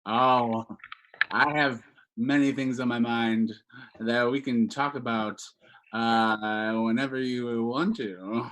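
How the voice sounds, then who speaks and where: A man hosting a dating-show bit in improv comedy, talking in a hesitant, halting way full of fillers over a home video call. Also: mid-range voice, fairly even intonation, a bit bright, rough recording. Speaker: game show host.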